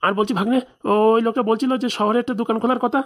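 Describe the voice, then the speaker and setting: A woman's voice: on the high side, fairly even intonation, rapid-fire, close to an everyday voice. A woman voicing a simple, good-natured village uncle who loves food, artless and rustic in a comic cartoon. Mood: agreeable.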